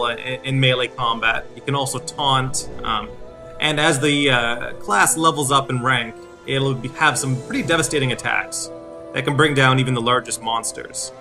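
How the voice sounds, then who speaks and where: A man in his thirties hosting a game walkthrough, explaining things in a halting way. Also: medium pitch. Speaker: video series host. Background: game music.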